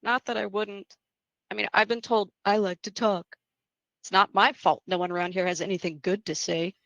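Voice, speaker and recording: A woman's voice: medium pitch, fairly even intonation, normal speed, creaky, a bit bright, no echo, highs cut off. A woman acting a talkative, teasing young psychiatric patient in a screenplay table read. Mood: wry. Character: chatty, flippant young female psychiatric patient.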